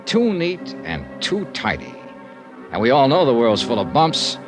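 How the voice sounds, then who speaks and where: An American hard-boiled private investigator with a mid-range voice and lively inflection. He delivers clipped, wry lines in classic 1950s radio style, both narrating and in dialogue. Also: mid-range voice, measured pace, over music. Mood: wry, reflective. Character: wry, hard-boiled freelance insurance investigator, adult man.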